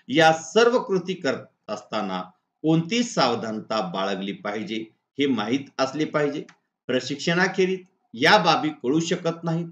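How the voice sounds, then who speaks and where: Male teacher in an echoey room. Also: medium pitch. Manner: deliberate, instructional, point by point. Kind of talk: exam answer walkthrough, reading model answers aloud. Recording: echoey room, dull lo-fi sound.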